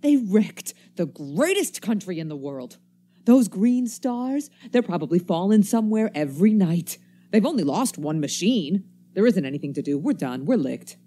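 A woman's voice: on the low side, big pitch swings, moderate pace, dark tone, slightly rough. A woman acting a wary, embittered survivor in a radio play, with folksy, slangy, cynical speech. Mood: grim, resigned. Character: wary, embittered survivor stranger armed with a knife.

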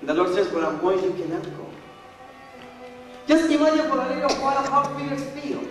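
Brief: young male pastor, Spanish accent, high lively voice, echoey church heard from far off. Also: moderate pace, soft background music. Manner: animated, switches between English and Spanish, rising pitch when excited. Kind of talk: bilingual sermon. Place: large church, at a pulpit. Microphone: gooseneck pulpit mic, far camera.

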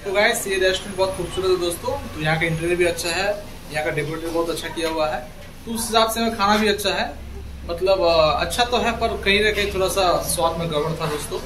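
A man's voice: high voice, fast, noisy background. A man from Kolkata, reviewing dishes in a casual food vlog. He talks straight to his viewers as friends and often repeats himself while trying the food in a restaurant. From Kolkata, India. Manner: chatty, addresses viewers as friends, repeats phrases. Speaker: food vlogger. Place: restaurant dining room. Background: loud music.

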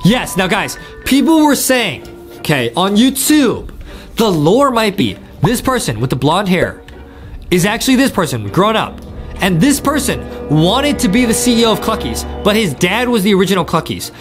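A young man giving excited, repetitive gameplay commentary to his viewers as a video host. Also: high voice, normal speed. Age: young adult. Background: faint music.

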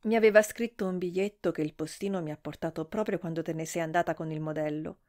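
A woman's voice: fairly low pitch, steady tone, fast, a little mellow, natural voice. A woman voicing an uneasy young woman who gives short, evasive replies.

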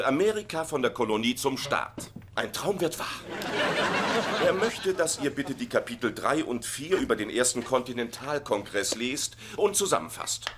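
A man's voice: medium pitch, noisy background, natural voice. A man acting in a German sitcom dub as an eager, insecure husband turned substitute teacher, hesitant and awkwardly chummy.

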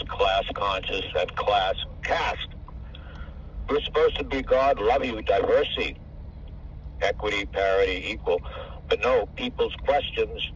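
An older man, over 65, talking in a rambling, profane, hard-to-follow way on a phone call. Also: fairly low pitch. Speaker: caller. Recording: distant phone call with faint car noise.

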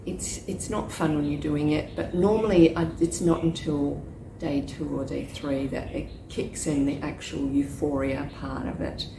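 A woman with a low voice, a touch croaky, talks in a loose, rambling check-in full of fillers and restarts. The sound bounces around an echoey space, with clear background noise throughout. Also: steady tone, measured pace. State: slightly croaky voice. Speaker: psychic reader and vlogger. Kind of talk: casual check-in vlog. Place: small courtyard with potted palts, outdoors. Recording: echoey with loud ambient noise.

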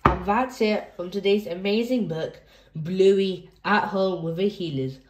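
A woman's voice: mid-range voice, a little slow, echoey room, background noise. A woman hosting a children's read-aloud, reading a picture book expressively for young listeners.

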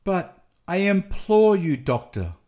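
A man's voice: a little high, wildly swooping pitch, slow pace, voice pushed up, full character voice. A man voicing a desperate, tearful wife who pleads urgently in a dramatic story. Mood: imploring. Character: desperate tearful young wife begging for help.

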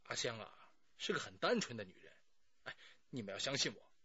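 Radio drama middle aged suspect, bright forceful voice, keeps justifying himself, bit dull recording. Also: male voice, mid-range voice, big pitch swings, brisk, slightly rough, dry recording, full character voice. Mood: regretful. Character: burly middle-aged man, talkative, self-justifying murder suspect.

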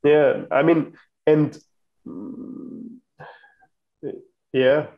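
Guy from austria on a video call, gravelly voice, stops and restarts a lot. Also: fairly high pitch, fairly even intonation, extremely slow, phone-quality sound. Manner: hesitant, many restarts. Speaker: guest. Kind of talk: casual chat.

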